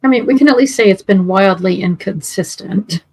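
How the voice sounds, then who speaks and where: A woman co-hosting a casual podcast review chat, giving thoughtful, measured opinions. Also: medium pitch, a little mellow, breathy edge.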